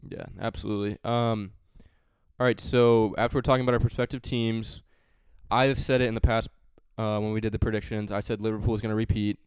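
A man's voice: fairly low pitch, level tone, a little fast, a little mellow, clean tone, dry recording. A man hosting a casual sports podcast, chatty and joking, with frequent fillers. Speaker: podcast host.

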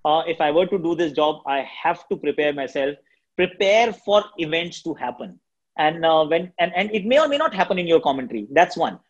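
A man from Delhi with an Indian accent, a cricket commentator whose first language is Hindi, answering interview questions in a shrill, quick, energetic way. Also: lo-fi sound. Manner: fast, energetic talker.

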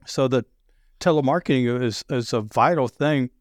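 A middle-aged man with a deep Southern accent, talking loudly and telling sales stories with many restarts. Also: normal speed, no room sound, clean studio sound. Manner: loud, animated storyteller with frequent restarts. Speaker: sales podcast guest. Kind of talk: casual conversation.

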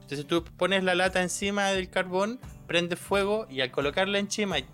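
A man's voice: high-pitched, moderate pace, fairly bright tone, faint music behind. Chilean man explaining in casual chat. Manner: explanatory, joking banter.

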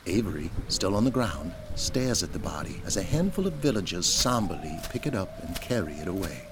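A man's voice: sits low, very animated, fairly quick. A man reading scripted narration for an audio drama, with noise behind him. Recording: clear background noise.

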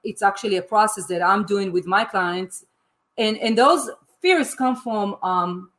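A woman's voice: medium pitch, a little slow, fairly bright tone. A woman whose first language is Hebrew, talking as a life coach in a casual podcast conversation, eager and repeating words as she goes.

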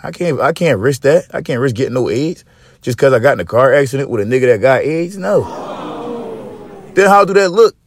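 A man hosting a podcast in casual chat, with a slightly raspy voice and loose, profane, joking rants. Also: expressive, brisk, a little hiss or hum. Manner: profane, joking rants with big pitch swings. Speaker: podcast host.

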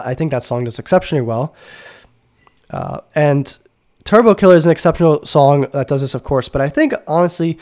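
A man's voice: medium pitch, a little mellow. A man hosting a casual music podcast, sounding stuffy and nasal from a cold, talking enthusiastically with rambling asides and self-corrections. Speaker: podcast host.

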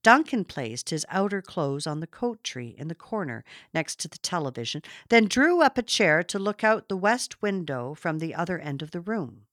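A woman narrating a literary story from a script in a measured, expressive storytelling manner. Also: on the low side, no echo, clean studio sound. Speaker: audiobook narrator.

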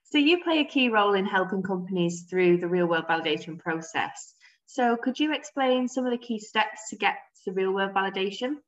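A woman's voice: medium pitch, expressive, a little fast. A woman hosting a podcast, asking encouraging interview questions. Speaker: podcast host. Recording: slightly dull recording.

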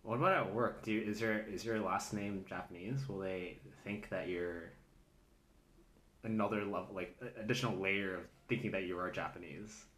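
Casual host, male, low voice, halting and filler-heavy, echoey room. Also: steady tone, fairly bright tone. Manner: halting, many fillers and restarts. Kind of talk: casual chat.

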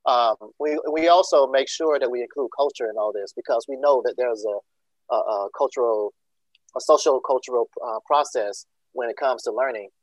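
A man from Georgia speaks as a school leader in an interview, explaining earnestly and filling pauses with ums and uhs. His voice sits mid-pitch for a man, and the recording is close and dry with no room sound. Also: no echo, low-quality recording. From Georgia, USA. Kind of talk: interview answer.

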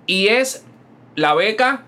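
A man's voice: sits high, lively intonation, slow, sharp tone. Hesitant man hosting a podcast. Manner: hesitant, with many fillers. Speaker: podcast host. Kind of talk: casual podcast chat.

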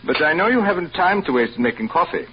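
A man's voice: on the high side, sing-song, fast talker. An actor playing a smug hotel boss who talks down to his deputy in a pompous, taunting manner, in old-time radio drama style. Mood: mocking. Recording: muffled, faintly noisy old radio recording.

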